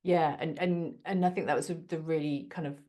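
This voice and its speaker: Woman, low-pitched voice, podcast host, reflective, thoughtful, conversational. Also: steady tone, brisk, dark tone.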